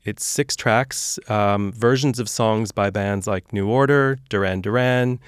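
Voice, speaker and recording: A man hosting a podcast interview, asking questions in a measured way. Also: lowish voice, clear, resonant tone, dry recording, studio quality. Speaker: podcast host.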